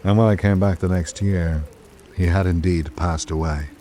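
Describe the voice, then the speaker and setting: A man playing a reflective traveller, narrating an island visit in measured literary prose for a radio drama. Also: low voice, fairly even intonation, warm tone, slight background noise, natural voice. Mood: sombre, reflective.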